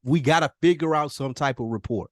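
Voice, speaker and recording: A man's voice: medium pitch, a little fast, no room sound, studio quality. An American man co-hosting a casual podcast, talking earnestly and repeating phrases for emphasis. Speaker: podcast co-host.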